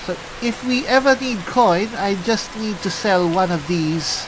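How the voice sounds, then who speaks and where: Man playing an unhurried, genial cleric adventurer, rain hissing. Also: high-pitched, animated. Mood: chatty, explanatory. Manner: musing, conversational, unhurried. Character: genial, chatty male armored cleric adventurer. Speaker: gamer roleplaying his character. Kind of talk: in-character game roleplay narration. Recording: hissy, rain noise throughout. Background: falling rain.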